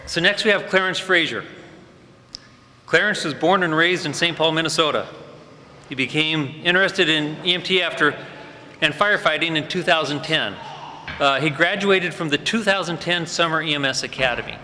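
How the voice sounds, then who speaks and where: A man at a fire department ceremony, introducing each graduate with loose, unscripted remarks and plenty of "uh"s. His voice is bright and forceful. It rings through a large hall with long reverb and faint ambient noise. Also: on the high side, moderate pace. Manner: warm off-the-cuff remarks with frequent fillers. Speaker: fire department EMS supervisor presenting cadets.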